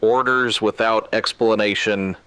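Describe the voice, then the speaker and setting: A man playing a grave emergency radio broadcaster in a scripted drama, speaking solemnly and deliberately in short, clipped sentences. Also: on the low side, steady tone, bright, forceful tone, clean tone, no echo, rough recording. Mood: grave, controlled. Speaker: radio news host.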